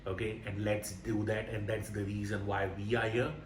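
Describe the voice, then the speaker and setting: A man's voice: lowish voice, little pitch movement, normal speed. A man in his late thirties from India, co-hosting a motivational podcast in an earnest, emphatic way that repeats phrases. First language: Indian languages. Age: 30s. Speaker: podcast co-host. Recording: roomy, distant mic with faint noise.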